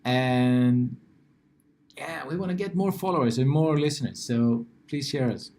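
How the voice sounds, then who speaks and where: A man with a Swedish accent co-hosting a podcast, easygoing and quick to laugh. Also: mid-range voice, a little mellow. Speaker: podcast co-host. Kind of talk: casual podcast chat.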